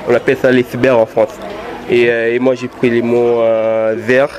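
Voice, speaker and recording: A man's voice: mid-range voice, level tone, moderate pace, crowd noise. A man from Congo, a guest rapper, talking in a casual outdoor interview, often repeating and restarting his words. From Democratic Republic of the Congo. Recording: outdoor street noise.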